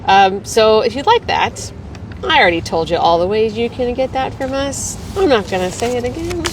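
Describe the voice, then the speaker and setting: A woman's voice: fairly high pitch, lively intonation, moderate pace, far from the mic, background noise. A woman from Texas hosting a weekly livestream, chatting casually and rambling between viewer comments. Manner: chatty and rambling, laughs easily.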